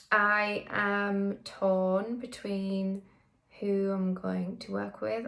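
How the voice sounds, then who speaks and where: A woman's voice: mid-range voice, vocal fry. A woman singer and vocal coach from the UK talking candidly in a casual vlog, with frequent ums and self-corrections.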